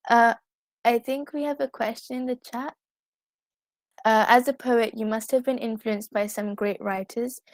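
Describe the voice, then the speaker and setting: A woman's voice: fairly high pitch, dry recording. A teenage girl with a British accent, talking casually as an interview guest in an earnest, polite and articulate way. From United Kingdom. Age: teenager, fifteen. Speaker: guest poet.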